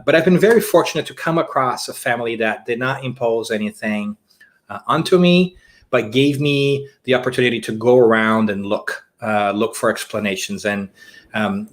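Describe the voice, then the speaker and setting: Brazilian-born man, thoughtful podcast guest. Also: mid-range voice. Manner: thoughtful, with many fillers and restarts. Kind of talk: casual interview conversation.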